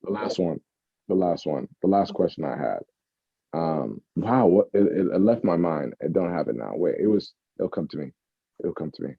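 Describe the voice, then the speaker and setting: A man's voice: deep voice, animated, moderate pace, vocal fry, breathy edge, no room sound, lo-fi sound. A man co-hosting a casual podcast, chatting loosely and breaking into bits of song. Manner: breaks into off-key singing of song snippets. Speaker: podcast co-host. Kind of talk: casual chat.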